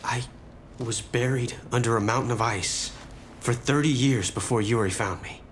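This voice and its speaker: A man's voice: mid-range voice, normal speed, a little hiss or hum, lightly acted. An actor playing a determined young male soldier in a video game, curt and questioning. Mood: startled. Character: determined young male soldier seeking answers about his father. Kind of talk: English-dubbed video game cutscene acting.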